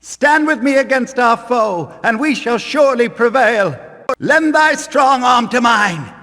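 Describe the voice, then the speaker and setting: An actor playing a fierce, fanatical warrior-priest in a video game, delivering archaic combat lines with menacing, bombastic declamation. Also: male voice, very high-pitched, expressive, measured pace, bright, forceful tone, exaggerated voice. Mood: battle fury. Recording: long hall reverb.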